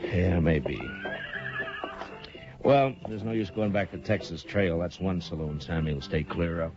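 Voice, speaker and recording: An actor playing a calm, firm frontier marshal in 1950s radio acting, on a muffled old broadcast recording. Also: male voice, low-pitched, music in the background. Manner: measured, steady.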